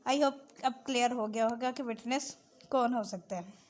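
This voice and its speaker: A woman with a Pakistani accent giving an explanatory lesson as a law lecturer, switching between two languages and slowing down to read out clauses. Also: a little high, a little mellow. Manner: switches between Urdu-Hindi and English, slow when reading out clauses. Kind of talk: explanatory lesson, reading out and explaining legal text.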